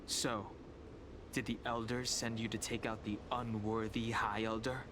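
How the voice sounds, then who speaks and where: A man's voice: lowish voice, level tone, a little slow, background noise, lightly acted. A man voicing a stoic, suspicious young dragon warrior in a video game, speaking tersely and solemnly. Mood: cool, suspicious.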